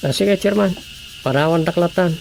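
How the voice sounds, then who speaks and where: An actor with a Filipino accent playing a dutiful, easygoing village officer in a radio comedy drama. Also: male voice, high voice, normal speed, vocal fry, a little mellow, slight background noise.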